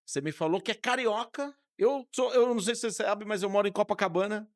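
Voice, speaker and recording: Chatty host with a dry studio sound. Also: male voice, high-pitched, fast, no echo. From São Paulo state, Brazil. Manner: chatty, friendly, asks many questions. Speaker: podcast host, interviewer. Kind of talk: casual interview conversation.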